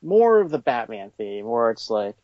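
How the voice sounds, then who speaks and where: A man's voice: medium pitch, big pitch swings, normal speed, a little mellow, no room sound, lo-fi sound. A man being interviewed about his toy company, talking in a casual, conversational way.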